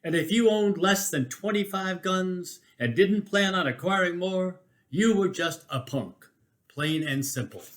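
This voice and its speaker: Higher-pitched man reading a personal essay. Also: a little slow, fairly bright tone. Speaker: reader of a written personal chronicle.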